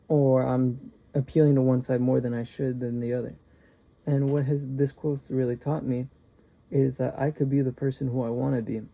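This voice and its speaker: A young man giving an earnest class speech, halting at times and restarting his sentences. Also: mid-range voice, creaky, dark tone. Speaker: student.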